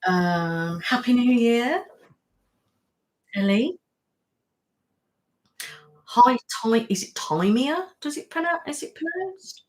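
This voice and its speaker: A woman's voice: on the high side, expressive, takes it slowly, long pauses, poor audio. A woman hosting a casual live stream from home, chatting on at length and answering viewers' comments. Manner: rambling, chatty, waffles on. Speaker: live-streaming channel host.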